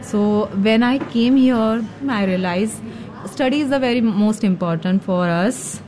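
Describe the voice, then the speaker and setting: A woman's voice: medium pitch, measured pace, a little mellow, rough recording. Young Indian-accented woman, non-fluent English. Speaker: night school student being interviewed. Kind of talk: short simple interview answers. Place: school classroom.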